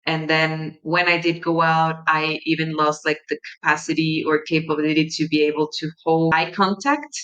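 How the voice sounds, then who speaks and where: A young woman from Mexico, a native Spanish speaker with a Mexican Spanish accent, hosting her podcast in a gentle, reflective monologue with soft pauses. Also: low voice, little pitch movement, lo-fi sound. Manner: gentle, warm-hearted, unhurried with soft pauses. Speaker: podcast host.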